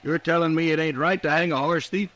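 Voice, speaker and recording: An American actor playing a gruff lynch-mob cowhand in a radio western. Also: male voice, a little high, steady tone, fairly quick, a bit bright, muffled recording, natural voice. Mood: hard, urgent. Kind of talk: 1950s radio western acting.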